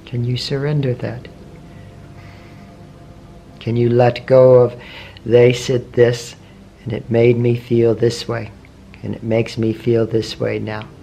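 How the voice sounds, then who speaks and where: A man leading a guided meditation, asking slow, gentle questions with long pauses between phrases. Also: mid-range voice, monotone, creaky. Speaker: meditation teacher. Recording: faint background noise with meditation music.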